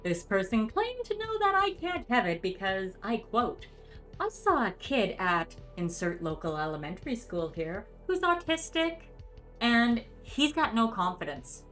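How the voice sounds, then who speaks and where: A woman's voice: a little high, sing-song, a little slow, a bit bright. A middle-aged woman hosting a dramatic reading of comments in a theatrical, sarcastic manner. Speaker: host. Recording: music playing behind the voice. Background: background music.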